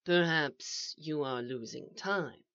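A woman voicing a calm, eerie male stranger in an audio story, speaking softly, slowly and with polite patience. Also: sits low, full character voice. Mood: soft, reasoning. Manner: soft, slow, even and reasonable. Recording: echoey, slightly dull home recording.

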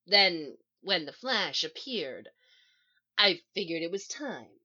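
A woman's voice: fairly low pitch, sing-song, takes it slowly, pushed and bright, muffled recording, full character voice. A woman reading as a mild, tired male supervillain in a story, matter-of-fact and subdued.

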